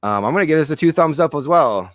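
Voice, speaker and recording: A man co-hosting a casual political podcast who reads news items aloud, keeps clearing his throat and gives blunt opinions, and whose voice is going hoarse. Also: fairly high pitch, lively intonation, fast, no echo, studio quality. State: losing his voice. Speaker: podcast co-host.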